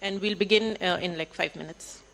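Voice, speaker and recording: A woman's voice: on the low side, steady tone, normal speed, noisy background. A woman acting as emcee, making casual event announcements to a symposium audience. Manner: casual with fillers. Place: symposium hall.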